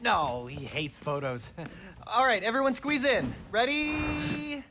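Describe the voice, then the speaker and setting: A man's voice: very high voice, animated, slow, sharp tone, slight background noise, exaggerated voice. A man playing a chatty, awkward, good-natured teenage best friend in a video game, eager in manner. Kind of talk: comic video game cutscene acting.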